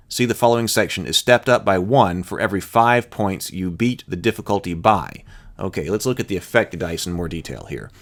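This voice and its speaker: Low-voiced man explaining game rules. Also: a bit bright. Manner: thinks aloud, explaining step by step. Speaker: host of a tabletop roleplaying rules channel. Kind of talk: reading rules aloud with informal asides.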